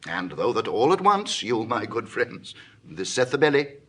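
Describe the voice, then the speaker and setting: An actor playing a genial, wily Roman patrician in a Shakespearean drama, with a husky voice and a teasing, theatrical delivery. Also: male voice, medium pitch, very animated, normal speed, pushed and bright, exaggerated voice. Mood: teasing, deliberate. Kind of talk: classical Shakespearean stage acting.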